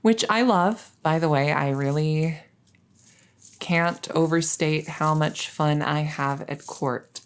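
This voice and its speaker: A woman playing a coy, flustered young crown princess in an intimate audio roleplay, speaking in a halting, stammering, nervous way. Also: low voice, clear, resonant tone. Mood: flustered, reluctant. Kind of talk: intimate audio roleplay acting addressed to the listener.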